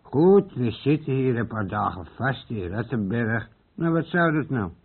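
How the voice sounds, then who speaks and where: An actor playing an untroubled, reassuring smuggler with a husky voice in a radio play. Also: male voice, medium pitch, very animated, measured pace, exaggerated voice. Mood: reassuring, exasperated. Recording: tinny old radio play recording.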